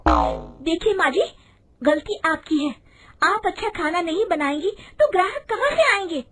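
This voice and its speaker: A woman voicing a brisk, outspoken young daughter-in-law in a storybook tale, with a blunt manner. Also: extremely high pitch, wildly swooping pitch, a bit bright, a little hiss or hum, pitched above the performer's own voice, heavily acted. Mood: chiding. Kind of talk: animated Hindi storybook voice acting.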